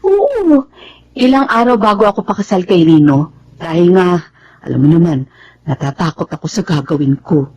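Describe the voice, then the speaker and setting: An actress playing a soft-hearted, grateful mother in a Tagalog radio drama. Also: lowish voice, lively intonation, moderate pace, muffled recording. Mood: grateful, reminiscing. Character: grateful, soft-hearted widowed mother remarried for her children.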